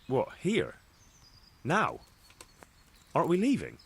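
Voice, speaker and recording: A man's voice: on the high side, huge pitch swings, takes it slowly, gravelly, breathy edge, full character voice. A young man playing a down-to-earth young squire in a video game cutscene, with a dry, teasing way of talking. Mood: wry. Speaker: game character.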